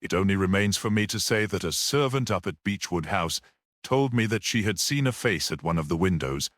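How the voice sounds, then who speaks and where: A man's voice: sits low, expressive, a bit bright, dry recording, studio quality. Casting: man reading as a storybook priest, equable, weighty and wry, with varied intonation. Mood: weighty reserve. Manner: grave, equable, deliberate. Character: calm, weighty, wry male village priest.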